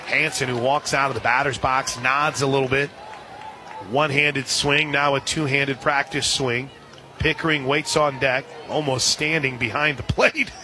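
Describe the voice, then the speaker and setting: A man calling a softball game live as a radio play-by-play announcer, in a folksy, chatty way with listeners. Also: medium pitch, steady tone, normal speed. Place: outdoor softball stadium. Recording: loud crowd and outdoor stadium noise. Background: crowd noise, outdoor ambience.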